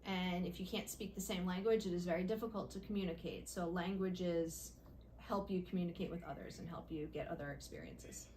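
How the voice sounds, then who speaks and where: A middle-aged woman, a school principal, answering interview questions in a measured, explanatory way. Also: lowish voice, steady tone, a little mellow, slight background noise.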